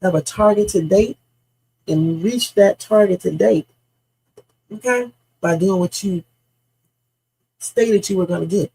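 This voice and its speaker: A woman in her fifties talks off the cuff on a livestream with a gravelly edge and a husky, rough texture. She is blunt and salty and drifts from story to story before landing on advice. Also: mid-range voice, slow pace, long pauses, mellow, rough recording. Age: 50s. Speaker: livestream host. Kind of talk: rambling casual livestream chat.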